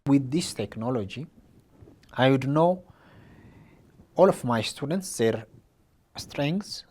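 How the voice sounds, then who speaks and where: A man from Ethiopia, an education official, speaking haltingly with many repeated restarts. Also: medium pitch, darkish tone. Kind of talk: interview statement.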